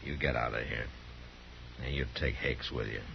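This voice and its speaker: A man's voice: very deep voice, steady tone, normal speed, vocal fry, heavily acted. An American man playing a stern, weary frontier town marshal in a 1950s radio western, speaking in terse commands. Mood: firm warning. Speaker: lead radio actor. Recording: dull, noisy 1950s radio recording.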